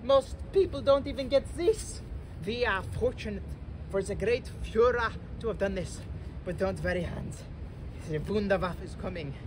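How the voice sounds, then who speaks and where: A man's voice: high-pitched, lively intonation, normal speed. Desperate German trooper for war parody, played by a young man, repetitive, swooping delivery, traffic noise outdoors. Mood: fervent, deluded. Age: young adult. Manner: stammering, repetitive, melodramatic. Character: desperate, fanatical male German soldier in WWII. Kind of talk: amateur war propaganda parody acting. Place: outdoors on an urban vacant lot. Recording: noisy outdoor recording with traffic.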